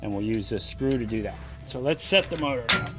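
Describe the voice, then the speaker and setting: A man giving a casual step-by-step how-to while working on a boat trailer on his driveway, often turning away from a low camera. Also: expressive, fairly quick, over music. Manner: plain, practical, step-by-step explaining while working. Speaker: DIY vlogger. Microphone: camera mounted low on the ground, voice often off-mic.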